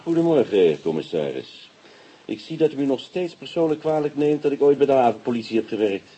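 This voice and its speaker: An actor playing a wry, teasing, avuncular police inspector in a radio drama, speaking. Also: male voice, medium pitch, lively intonation, brisk, warm tone, lo-fi sound, slight background noise, lightly acted. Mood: dry, unruffled. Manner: jocular, sardonic, avuncular. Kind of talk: Dutch radio drama acting.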